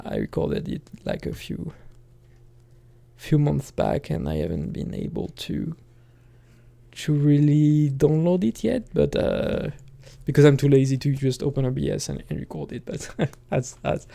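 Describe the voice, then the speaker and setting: A man talking casually on his own channel in a hesitant, halting way, thinking out loud as he goes. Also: fairly high pitch, lively intonation, vocal fry. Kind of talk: casual rambling solo talk.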